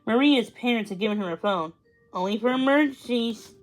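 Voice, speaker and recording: A woman's voice: fairly high pitch, brisk, faint music behind, low-quality recording. A woman narrating a children's story as a read-aloud. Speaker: storybook reader.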